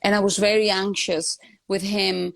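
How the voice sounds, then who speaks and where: A woman's voice: medium pitch, level tone, moderate pace, vocal fry, dry recording. Catalan-accented woman, earnest and dry. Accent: Spanish (Catalan). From Spain (Barcelona). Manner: earnest, with frequent fillers and restarts. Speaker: guest, education consultant. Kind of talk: conversational interview.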